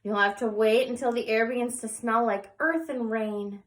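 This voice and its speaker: A woman reading the part of a patient, gentle mother in a picture book, explaining things to her child in a measured way. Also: on the high side, fairly even intonation, natural voice.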